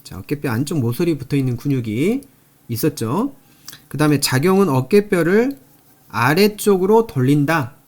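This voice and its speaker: A man's voice: a little high, animated, normal speed, a little mellow. A man giving an explanatory anatomy lecture, repeating key terms and going through things step by step. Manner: repetitive, step-by-step teaching with frequent emphasis. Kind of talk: explanatory lecture, drawing and working through review questions.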